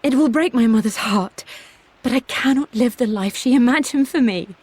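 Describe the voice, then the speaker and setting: A woman's voice: on the high side, normal speed, a little mellow, husky, dry recording. Refined aristocratic young Englishwoman. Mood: tender, resolute. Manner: refined, wry. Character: aristocratic young Englishwoman, defiant, wry, in love. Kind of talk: dramatic journal read in a video game.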